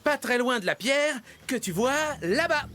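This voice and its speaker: Cartoon cheetah: boastful young male, high voice, unhurried, showy delivery, music underneath. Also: expressive. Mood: eager, cocky. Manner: cocky, showy. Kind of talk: animated series dubbing. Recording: music behind.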